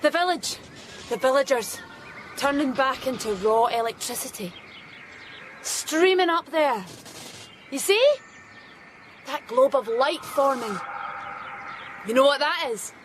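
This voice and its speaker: An actress playing a breezy, mocking grown child who is eerily pleased, in a radio drama. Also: female voice, high voice, animated, slow, long pauses, a little hiss or hum. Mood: airy, mocking.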